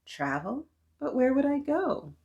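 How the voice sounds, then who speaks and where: A woman's voice: sits high, big pitch swings, measured pace, vocal fry, a little mellow, dull audio, voice pushed up, heavily acted. A fun-loving little girl from a picture book, played by a woman reader. She is bubbly and eager, yet speaks with polite, mannerly care. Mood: wondering.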